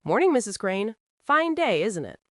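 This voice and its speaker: A woman's voice: medium pitch, sing-song, moderate pace, dry recording, clean studio sound, voice pushed up, close to an everyday voice. A woman voicing a big, guileless young male ranch hand in an audio drama, chatty, eager and cheerful.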